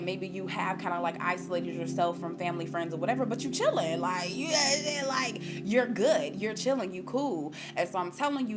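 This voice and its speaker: An American woman talking casually and emphatically to viewers as she gives an intuitive reading, repeating her points for stress. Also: lowish voice. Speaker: spiritual reader giving intuitive readings to viewers. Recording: music playing behind the voice.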